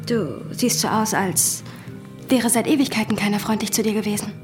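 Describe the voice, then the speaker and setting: A woman's voice: mid-range voice, normal speed, music in the background, natural voice. An actress playing a tough, teasing female barkeeper with a dry, cool manner in a radio play. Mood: softer, cool. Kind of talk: radio drama dialogue.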